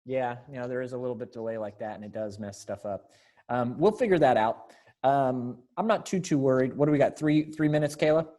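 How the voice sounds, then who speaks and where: A man's voice: medium pitch, brisk, mellow. A man directing a readers theater group, talking informally and encouragingly as he organizes rehearsals on a video call.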